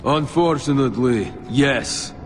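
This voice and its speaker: Actor as grim war veteran. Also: male voice, mid-range voice, slow, natural voice. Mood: grave. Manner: terse, dry. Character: grim, battle-hardened foreign veteran male soldier. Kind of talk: video game cutscene acting. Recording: game audio with loud music behind.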